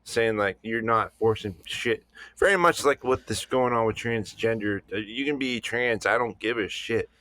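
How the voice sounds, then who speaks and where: A man's voice: medium pitch, normal speed, sharp tone, no room sound. A man co-hosting a podcast, talking bluntly and with plenty of swearing. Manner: blunt, profane, opinionated. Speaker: podcast co-host. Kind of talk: casual podcast chat.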